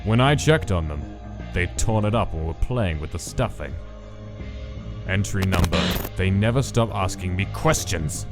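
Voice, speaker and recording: A man performs a cold, obsessive male scientist in a dramatic comic dub. The voice is very low and slow, clinical and deliberate, with prominent music behind it. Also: animated. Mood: irritated, clinical.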